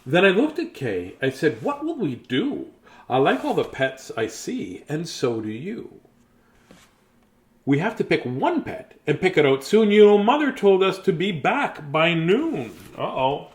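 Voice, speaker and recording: A man with a slightly high voice reads a children's story aloud. His pitch moves up and down in a very animated way. He is theatrical and playful, stepping out of the text for chatty little remarks. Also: measured pace. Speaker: storyteller reading children's books. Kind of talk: expressive bedtime story read-aloud with casual asides.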